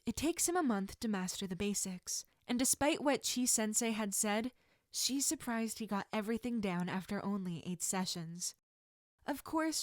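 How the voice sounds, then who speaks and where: A woman reading scripted fiction aloud as an expressive narrator. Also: medium pitch, no room sound, clean studio sound.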